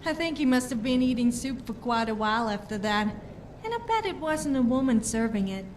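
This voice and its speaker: A woman's voice: fairly high pitch, animated, fairly quick, noisy background, lightly acted. An actress playing a brash, boastful female warrior companion in a video game, with a sardonic manner. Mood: cocky, sardonic. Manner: cocky, sardonic. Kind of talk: scripted video game dialogue.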